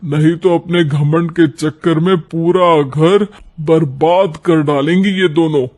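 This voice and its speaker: Man playing a slow, wry older cartoon father. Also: fairly high pitch, steady tone, a little mellow. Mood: exasperated. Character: older, exasperated, playful father-in-law in a family cartoon.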